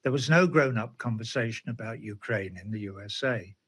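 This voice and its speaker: A man's voice: lowish voice, fairly quick, a bit bright, husky. A man delivering a spoken essay monologue in a sardonic tone, often asking himself rhetorical questions. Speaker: commentator.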